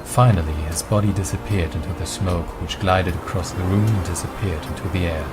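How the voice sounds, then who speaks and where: Male narrator, very low voice, scripted dramatic fantasy storytelling over prominent music. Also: fairly even intonation, fairly quick.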